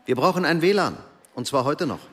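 A man's voice: a little high, expressive, brisk, fairly bright tone, slightly rough. A man acting a lonely, hesitant middle-aged American businessman who turns impatient at work, in a German radio drama. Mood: assertive. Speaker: actor in a radio play.

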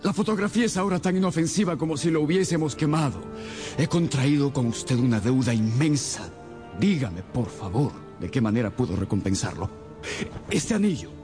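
Un actor que interpreta a un rey cortesano, grandilocuente e impulsivo en una radionovela, con música de fondo. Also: male voice, medium pitch, expressive, normal speed, darkish tone, faint music behind, natural voice. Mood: grateful, expansive. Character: grand, courtly male king, grateful and impulsive. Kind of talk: radio drama acting.

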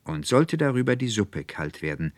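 A man's voice: mid-range voice, big pitch swings, moderate pace, no echo, pitched above the performer's own voice, close to an everyday voice. An earnest, effusive young woman acted out by a male reader in a literary audiobook. The speech is emphatic, resolute and formally old-fashioned. The recording is very dry and close. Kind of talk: literary audiobook character dialogue.

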